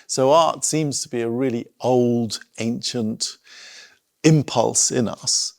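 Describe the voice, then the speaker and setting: Slow, creaky-voiced middle-aged man giving a talk. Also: medium pitch, no room sound, studio quality. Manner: reflective, hesitant, thinking aloud with pauses. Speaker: art school director giving a talk. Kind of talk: informal illustrated public talk.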